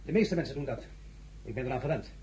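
A man's voice: medium pitch, animated, fast, dark tone, slight background noise, natural voice. Napoleon, swooping and grandiose played by a man. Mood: cool, probing. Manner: grandiloquent, teasing, theatrical. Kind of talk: Dutch radio drama acting.

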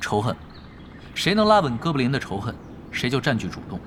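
An actor playing a shrewd, casual gamer and tactician in an audio drama. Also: male voice, medium pitch, very animated, brisk, fairly bright tone, a little hiss or hum. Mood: cool, commanding. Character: unhurried, shrewd male gamer, tactician for hire.

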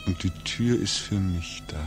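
An actor playing a homesick, brooding young man back from war in a 1940s radio drama, with halting, repetitive, anguished speech. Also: deep voice. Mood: hushed, hopeful. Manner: halting, repetitive, swinging into agitated questioning. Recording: 1940s radio recording, music behind.

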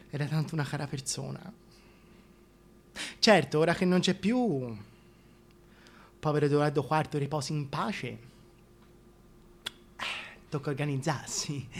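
A man's voice: fairly high pitch, a little hiss or hum. A man playing a scheming, chatty single mother in a comic radio monologue, with a Tuscan accent and colloquial delivery. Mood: smug, breezy. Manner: gossipy colloquial storytelling. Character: chatty, scheming single mother, a shameless working-class opportunist.

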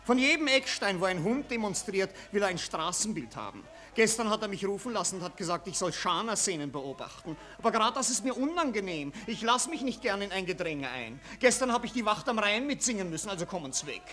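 A man's voice: high voice, expressive, brisk, few pauses, sharp tone. A man playing a reluctant, dryly cynical, grumbling Viennese newspaper reporter in a 1940s German radio play.

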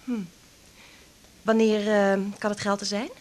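An actress playing a grudging, calculating young woman in a naturalistic radio drama, halting and sharp-tongued. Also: mid-range voice, completely flat delivery, lightly acted. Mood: eager, wary. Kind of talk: naturalistic 1980s Dutch radio drama acting.

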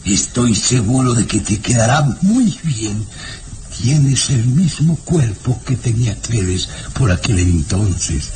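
An actor playing an older baron, an eerily doting father, speaking slowly and insistently in a radio drama with music behind. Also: mid-range voice, lively intonation, noisy background. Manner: slow, insistent, with dry chuckles. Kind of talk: 1960s radio drama acting.

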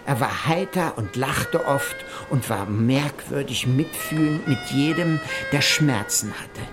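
A man giving measured, formal narration of a journal in a literary radio play. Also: mid-range voice, animated, over music. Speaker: narrator reading an explorer's journal.

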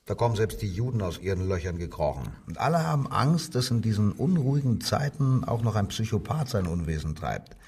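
A man's voice: on the low side, sing-song, brisk, few pauses, darkish tone, husky, recorded from a distance, exaggerated voice. An actor playing a male Nazi-era police inspector in a radio crime drama, falsely genial, probing and menacing. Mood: smug, insinuating. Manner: feigned joviality, probing, insinuating. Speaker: interrogating police detective.

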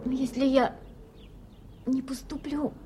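Young girl student in old radio play, high voice, pained and obstinate, halting, slight hiss. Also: flat delivery, dark tone. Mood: pained, stubborn. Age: young adult. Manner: hesitant, halting, naive but obstinate. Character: stubborn young provincial female exam applicant in pain. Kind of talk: 1980s Soviet radio drama acting. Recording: faintly noisy archive radio play recording.

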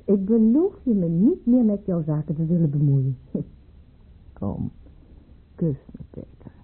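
Casting: proud aristocratic mistress in a tsarist radio play, wary and theatrical, breathy husky edge, tinny muffled old recording. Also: female voice, on the low side, sing-song, measured pace, full character voice. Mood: wary, coaxing. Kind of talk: Dutch radio drama acting.

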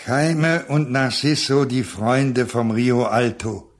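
Very slow, solemn legend teller. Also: male voice, medium pitch, steady tone. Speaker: radio drama narrator. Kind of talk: poetic scripted radio narration.

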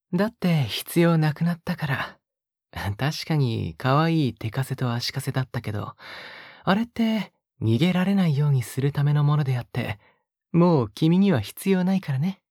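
A woman acting as an obsessive young man with a low, very breathy voice, recorded close and dry. He speaks as a possessive captor in an intimate Japanese audio drama, coaxing and gently menacing, with murmurs that swing widely in pitch and sink into whispers. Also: lively intonation, normal speed, no echo. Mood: calm, menacing. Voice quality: breathy, husky.

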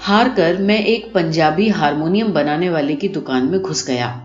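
Woman reading a story out loud, kinda low voice, echoey dull recording, expressive. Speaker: audiobook narrator. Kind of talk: expressive scripted short-story narration. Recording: muffled, roomy recording with music behind. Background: music.

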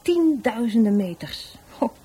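A woman's voice: on the high side, big pitch swings, a little slow, mellow, husky, exaggerated voice. An actress playing a blunt, cynical woman in a radio drama, with a dry, nonchalant way of talking. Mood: dismissive. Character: blunt, offhand, cynical sister of a murder victim.

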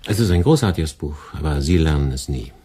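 A middle-aged boss in a radio play, dry and ironic, always lecturing. His voice is very deep, dark and husky. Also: animated, brisk, exaggerated voice. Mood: sardonic. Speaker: department head.

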